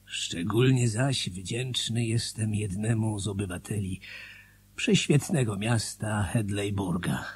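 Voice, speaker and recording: An actor in a radio drama playing a solemn, grateful former gambler, confiding in a husky, whispery voice. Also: male voice, fairly low pitch, takes it slowly, heavily acted. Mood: confiding, earnest. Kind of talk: Polish radio drama monologue, dramatic reading.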